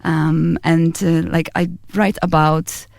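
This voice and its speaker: A woman in her thirties from Poland with a Polish accent, a singer-songwriter answering interview questions softly in a small radio studio on a boom mic. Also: level tone, takes it slowly, creaky, dry recording. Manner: soft-spoken, laughs often, hesitant fillers. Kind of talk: casual interview chat.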